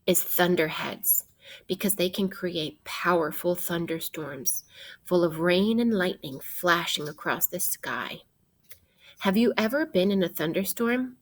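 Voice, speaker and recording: A woman teacher reading a children's science book aloud in a deliberate way, putting questions directly to young listeners. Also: lowish voice.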